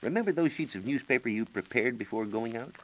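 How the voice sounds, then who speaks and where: An American man giving measured, precise instructions as a science reporter on a scripted 1940s radio program. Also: medium pitch, expressive, a little hiss or hum, poor audio. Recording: 1940s radio broadcast recording.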